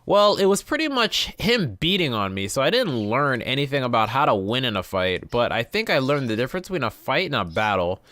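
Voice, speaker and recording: A man's voice: medium pitch, big pitch swings, brisk, hardly any pauses, a bit bright, dry recording. A man hosting a gameplay video, reading visual novel text aloud and dropping in informal asides. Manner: informal, reacts to the text he reads.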